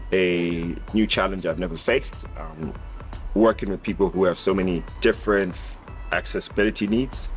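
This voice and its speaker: Deep-voiced man in casual interview.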